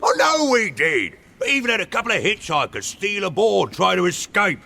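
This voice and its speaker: An actor playing a surly, sarcastic alien captain in a radio comedy, blustering and grumbling. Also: male voice, high voice, sing-song, moderate pace, gravelly, bright, forceful tone, exaggerated voice. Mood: defensive, surly. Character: surly, destructive male Vogon alien captain, sarcastic and petulant.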